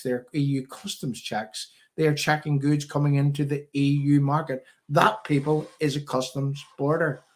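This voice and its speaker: Northern Irish man hosting a political stream. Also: on the high side. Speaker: live-stream political show host. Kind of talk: live political commentary to viewers.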